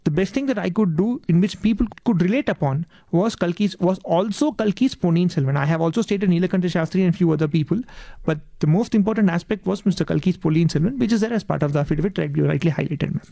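A man with a Tamil Indian accent, a native Tamil speaker, giving earnest, polite and measured answers as an interview guest. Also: high voice, vocal fry, warm tone, no room sound.

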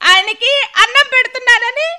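A woman's voice: extremely high pitch, brisk, sharp tone, heavily acted. A woman playing a distressed young wife who pleads through tears. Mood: tearful. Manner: weeping, pleading.

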